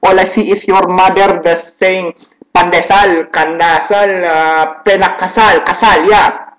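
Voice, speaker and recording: A man's voice: high voice, fairly even intonation, a little slow, a bit bright, poor audio. A man putting on a Filipino accent, rambling through a comedic monologue full of repeated words and mix-ups. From Philippines. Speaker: comedic vlogger.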